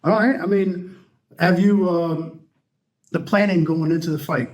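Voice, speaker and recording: A man's voice: sits high, fairly even intonation, a little slow. A man hosting a podcast interview, chatty and upbeat, with many ums. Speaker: podcast host.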